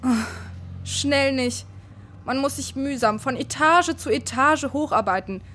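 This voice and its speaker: A woman's voice: sits high, expressive, measured pace, fairly bright tone. A woman playing an eager schoolgirl double agent in a children's radio play, earnest and insistent.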